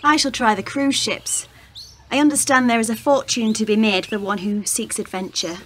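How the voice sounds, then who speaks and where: A woman's voice: fairly high pitch, steady tone, normal speed, fairly bright tone, a little hiss or hum, natural voice. A woman playing a bold, sardonic 1920s working-class maid in a radio play, dry and defiant.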